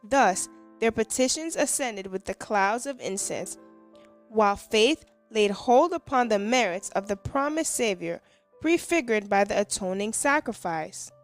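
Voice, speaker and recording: A woman reading a scripted devotional in a measured, reverent manner. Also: medium pitch, lively intonation, soft background music. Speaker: devotional reader and hymn singer.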